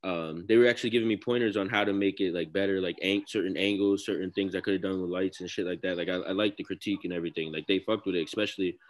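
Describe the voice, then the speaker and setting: A young man from Connecticut with a deep baritone voice, talking casually as a guest rapper in an interview, with loose, slangy speech full of "like" fillers. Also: steady tone, racing, hardly any pauses. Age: young adult.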